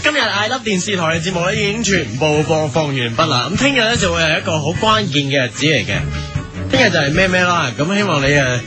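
A man's voice: fairly high pitch, expressive, fast talker, loud music behind the voice. A man with a Hong Kong Cantonese accent making casual continuity announcements for a station.

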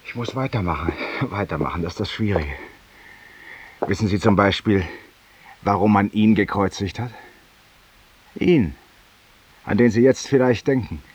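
A man's voice: fairly low pitch, normal speed, long pauses, a little mellow, slightly rough. An actor playing a world-weary, eloquent, guilt-ridden man who confesses intimately to an unseen companion in a 1950s radio drama monologue. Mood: restless, urgent, then probing, ironic. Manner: intimate, rhetorical, addressing an unseen companion, sometimes dropping to a whisper. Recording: muffled, distant 1950s radio recording.

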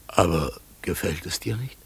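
An actor playing a solemn, mysterious talking raven in a radio play, with a husky, gravelly voice and a slow delivery. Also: male voice, deep voice, lively intonation, exaggerated voice. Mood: gentle. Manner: slow, solemn, sometimes calling out or whispering. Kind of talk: German radio play acting.

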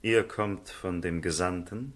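A sardonic, world-weary gentleman of a period radio drama. His voice is very low and husky. He talks theatrically, as if sharing mocking confidences. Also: measured pace. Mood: wry, probing. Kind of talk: German literary radio play acting.

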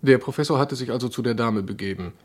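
A gentleman doctor played by a male actor in a radio crime play. He has a medium-pitched voice and sounds courteous but guarded, evasive and on the defensive. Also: quick pace, natural voice. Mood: confiding, guarded. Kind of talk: German radio crime drama acting.

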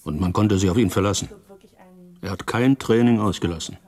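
A homely club chairman in a radio play, acted by a man, with a very low voice. He talks earnestly and reflectively, in a plain-spoken, puzzled way. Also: fairly quick, darkish tone, close to an everyday voice. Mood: pensive, suspicious. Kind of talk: radio drama dialogue.

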